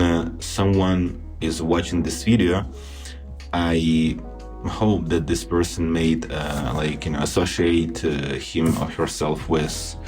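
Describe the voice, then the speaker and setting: A man with a Ukrainian accent and a very deep voice hosts a podcast conversation. He asks long, looping questions padded with filler words. Faint music sits under the talk. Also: darkish tone. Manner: rambling, filler-heavy questions. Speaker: podcast host. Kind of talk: casual interview questions.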